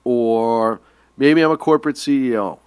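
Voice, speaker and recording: A man's voice: mid-range voice, moderate pace, a little mellow, clear, resonant tone, no echo. A man co-hosting a radio talk show, teasing his partner and laughing often through political banter.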